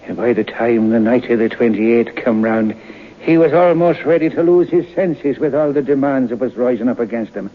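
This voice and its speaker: An actor playing a storyteller who tells an eerie family tale in a folksy, suspenseful way for old-time radio. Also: male voice, medium pitch, expressive, normal speed, lightly acted. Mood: ominous. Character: Irish storyteller recalling his grandfather's eerie tale. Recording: dull old radio recording, faint noise.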